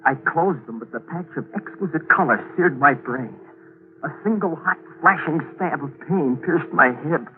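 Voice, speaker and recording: A man's voice: a little high, moderate pace, narrow, tinny audio, lightly acted. An actor playing an intense, unsettled man confessing a killing, narrating in 1940s radio drama style. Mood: feverish. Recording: scratchy, phone-thin 1940s radio broadcast.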